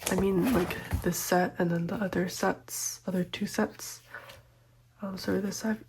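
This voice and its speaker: Young woman, a bit stuffy from a cold, slow soft chatty talk drifting into whispers, faint hiss and little clicks behind. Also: medium pitch, steady tone. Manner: gentle, chatty, lots of ums and small laughs. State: slightly sick, congested. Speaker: photocard collecting vlogger. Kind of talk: soft-spoken collecting vlog, often whispered.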